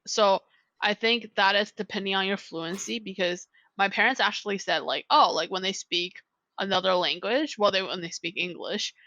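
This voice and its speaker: A woman's voice: mid-range voice, pushed and bright, no room sound, rough recording. A young woman chatting casually on a podcast as a guest, rambling and filling her talk with "like". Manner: rambling, heavy use of "like". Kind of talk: casual chat.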